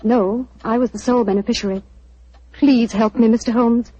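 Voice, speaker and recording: A woman's voice: on the high side, fairly even intonation, moderate pace, mellow, natural voice. An actress playing an anxious young woman in mourning, earnest and pleading, in 1940s radio acting. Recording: dull old radio recording.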